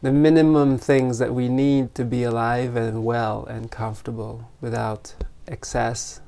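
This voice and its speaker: This is a meditation teacher of unclear sex with a soft-edged, mellow voice. The delivery is very slow and even, with long pauses between short phrases. It sounds like gentle, unscripted guidance for a meditation group. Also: medium pitch, gravelly. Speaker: meditation teacher leading an online retreat. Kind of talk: guided meditation, gentle spontaneous talk.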